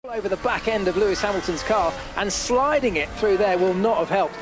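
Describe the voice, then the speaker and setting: A man giving excited live motor racing commentary as the lead commentator. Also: high voice, fairly quick, over music.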